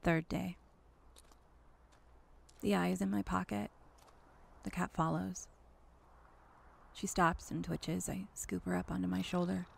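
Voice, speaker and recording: A woman delivers a dramatic horror narration in the first person. Her voice sits fairly low and creaks with vocal fry. She takes her time, letting short, intense fragments hang, over a slightly noisy recording. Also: little pitch movement, unhurried, long pauses. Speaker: storyteller.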